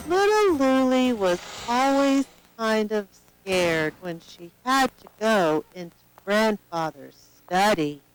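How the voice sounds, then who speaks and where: Slow, lilting woman storybook narrator. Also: medium pitch, lively intonation, soft background music, poor audio. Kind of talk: scripted children's story narration.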